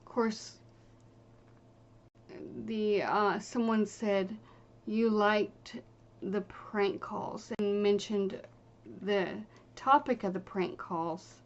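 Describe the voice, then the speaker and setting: A woman vlogger talking casually and haltingly as she reads through viewer comments, trailing off between short phrases. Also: mid-range voice, steady tone, dull audio. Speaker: video creator.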